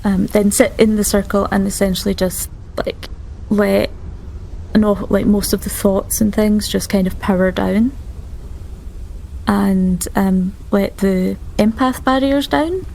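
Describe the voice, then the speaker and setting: Woman player with a creaky voice. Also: mid-range voice, steady tone, mellow, slight background noise, rough recording. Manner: thoughtful, halting delivery. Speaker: tabletop game player. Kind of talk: casual improvised roleplay description.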